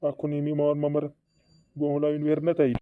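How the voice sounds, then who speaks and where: A man's voice: on the high side, monotone, a little fast, dry recording, natural voice. A man voicing a fretting cartoon villager with repetitive, doubled words, in a dull lo-fi recording. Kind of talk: regional comedy cartoon dialogue. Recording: dull lo-fi dry audio.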